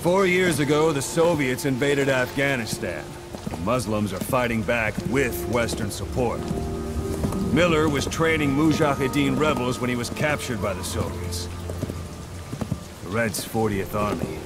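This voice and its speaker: Male actor as a blunt mercenary commander, matter-of-fact briefing, game cutscene with loud music. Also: mid-range voice, expressive, unhurried, natural voice. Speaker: commander giving a mission briefing. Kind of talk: video game cutscene acting.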